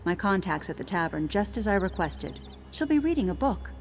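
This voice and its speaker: An actress playing a watchful resistance contact in a fantasy video game, giving cautious instructions in a measured, conspiratorial way. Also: female voice, medium pitch, very animated, long reverb tail, a little hiss or hum. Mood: cautious, instructing. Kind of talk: scripted game quest dialogue.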